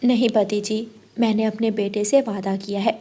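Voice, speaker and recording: A woman voicing a gentle, devoted mother pigeon, speaking softly and earnestly in a Hindi cartoon story. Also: medium pitch, little pitch movement, normal speed, breathy edge, lightly acted. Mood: tender, determined. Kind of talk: Hindi animated moral story.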